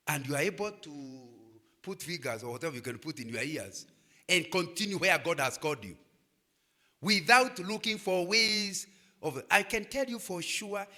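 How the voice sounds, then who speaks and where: Older Kenyan man, lively preacher to students, bright and high-pitched. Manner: animated preaching, asks "Am I communicating?". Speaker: guest speaker.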